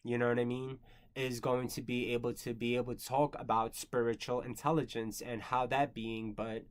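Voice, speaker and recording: A man's voice: mid-range voice, level tone, moderate pace. A man in his thirties, born in the Philippines and not a native English speaker, rambling through a casual personal monologue with many fillers and some swearing. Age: 30s. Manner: rambling, filler-heavy, frequent "you know" and "um". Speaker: creator answering viewer questions.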